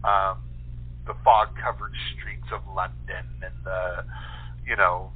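A man's voice: low voice, little pitch movement, takes it slowly, slightly rough. Man on a narrow phone line. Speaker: guest playwright. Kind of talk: casual interview conversation. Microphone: telephone.

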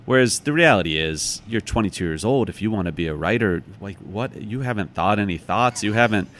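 American guy, lowish voice, casual storytelling on an interview, reflective, corrects himself as he talks. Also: animated, fairly quick, a bit bright. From United States, from Chicago. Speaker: interview guest, author.

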